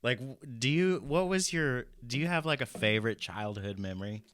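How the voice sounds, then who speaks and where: A man's voice: mid-range voice, expressive, moderate pace, a bit bright. A man in his thirties co-hosting a podcast, casually teasing his co-host with dry remarks. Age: 30s. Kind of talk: casual chat.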